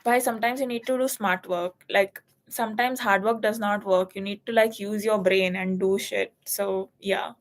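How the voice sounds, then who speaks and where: A young woman in her twenties from India, chatting casually and telling an animated story about passing an exam, swearing now and then. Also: medium pitch, moderate pace, hardly any pauses. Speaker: student. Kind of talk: casual chat.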